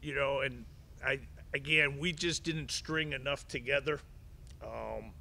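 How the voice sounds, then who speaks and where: A man's voice: medium pitch, fairly even intonation, a little slow, vocal fry, sharp tone. A middle-aged man, a head baseball coach, answering press conference questions at a table mic, often restarting and repeating words. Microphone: table mic in front of him.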